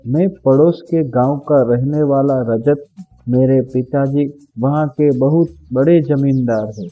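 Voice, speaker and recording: A man's voice: mid-range voice, measured pace, narrow, tinny audio, soft background music, lightly acted. A man playing a polite, smitten young landlord's son in a cartoon story. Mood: apologetic. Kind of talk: Hindi cartoon story dialogue.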